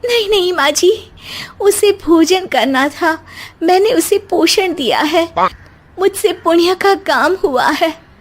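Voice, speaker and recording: एक महिला की आवाज़ में भोली, धर्मपरायण बहू, ऊँचा सुर. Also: female voice, very high voice, lively intonation, slow pace, pitched above the performer's own voice, exaggerated voice. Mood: earnest, pious. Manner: preachy, earnest, sing-song moralizing. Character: pious, naive, stubbornly gentle young daughter-in-law. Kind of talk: cartoon moral comedy acting.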